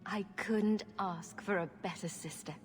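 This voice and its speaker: A woman's voice: mid-range voice, steady tone, measured pace, long reverb tail, faint music behind, close to an everyday voice. An actress playing a wry, sarcastic young female rogue champion in a video game cutscene. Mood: sincere. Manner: wry, teasing.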